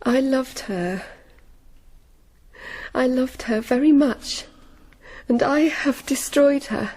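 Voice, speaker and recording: High-voiced earnest young noblewoman. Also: slow, darkish tone. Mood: grief-stricken. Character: imperious, headstrong young noblewoman, remorseful and earnest. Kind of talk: radio drama acting.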